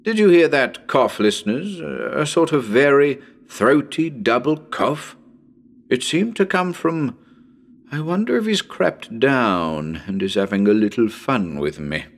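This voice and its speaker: A man's voice: medium pitch, vocal fry, full character voice. A man playing a nervous radio broadcaster on a ghost hunt in a dramatic reading, talking to listeners in a chatty, hesitant, uneasy way. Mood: growing nerves. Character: nervous male radio broadcaster ghost hunting in haunted house.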